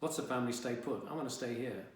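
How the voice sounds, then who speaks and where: A man's voice: mid-range voice, fast talker, recorded from a distance, close to an everyday voice. Echoey man as a sullen teenage boy narrator. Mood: defiant. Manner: wry, dramatic, exasperated teenage grumbling. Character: sullen, indignant fifteen-year-old boy telling his story. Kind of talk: first-person young adult audiobook reading. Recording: very echoey, reverberant room.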